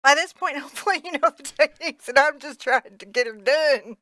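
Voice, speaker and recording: A woman's voice: high voice, fairly quick, pushed and bright, dry recording. A woman teaching painting in a casual, chatty way, with playful, self-deprecating banter as she works in a studio. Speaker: painting teacher, show host. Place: indoor art studio.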